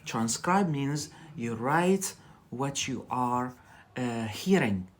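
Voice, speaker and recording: A man's voice: mid-range voice, animated, takes it slowly. A man with a Scottish accent teaching English on a live stream, chatty and loose, tagging sentences with "yeah?" and repeating words for practice.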